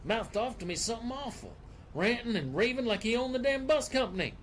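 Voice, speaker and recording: An actor playing a surly, bigoted 1940s Southern bus driver in an audio drama, with a gruff, folksy drawl. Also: male voice, extremely high pitch, expressive, a little fast, slight background noise. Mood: indignant.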